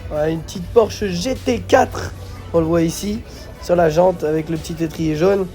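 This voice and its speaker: Bright, higher-voiced man vlogging with a slight cold. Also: moderate pace, soft background music. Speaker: car vlog host. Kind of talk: casual vlog commentary on cars.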